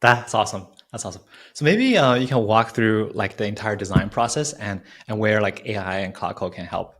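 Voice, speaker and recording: A man with a fairly low voice speaks as an interview host. His delivery is casual and conversational, like an informal work chat. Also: lively intonation, fairly quick, a bit bright.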